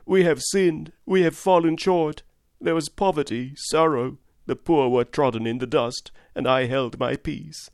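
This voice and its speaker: A man's voice: fairly high pitch, measured pace, no echo, clean studio sound, pitched above the performer's own voice. A man voicing a frantic male curate who rants and preaches doom, crying out wildly. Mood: fervent. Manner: wild ranting with shouted cries.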